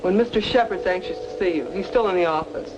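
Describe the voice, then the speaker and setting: An actress playing a brisk, helpful young office secretary in an old radio drama. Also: female voice, on the low side, lightly acted. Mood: businesslike. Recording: muffled, noisy old radio recording.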